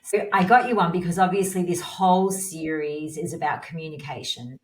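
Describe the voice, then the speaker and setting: A woman's voice: deep voice, steady tone, moderate pace. A woman hosting a podcast, chatting casually as she interviews, recorded in an echoey room. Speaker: podcast host. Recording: echoey, reverberant room sound.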